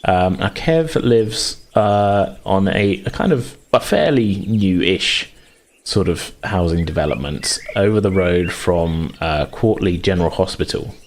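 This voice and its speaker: A man's voice: sits low, a little slow. A British man running a tabletop game, improvising his narration with hesitant, hedging phrasing. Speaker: game master.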